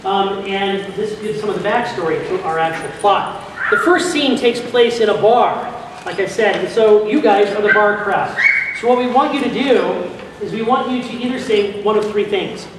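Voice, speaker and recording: Male event presenter, high voice, informal instructions to a crowd, distant in a noisy hall. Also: normal speed. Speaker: presenter directing an audio drama recording. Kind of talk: informal live instructions to an audience. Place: large convention hall. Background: room noise and ambience.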